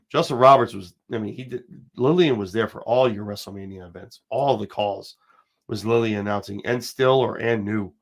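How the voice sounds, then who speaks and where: A man in his forties hosts a casual livestream, his voice sitting a little low and stuffed up from a slight cold. He rambles and pushes his points hard, talking straight to his viewers. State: has a cold, sniffling. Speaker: show host. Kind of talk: casual wrestling commentary livestream.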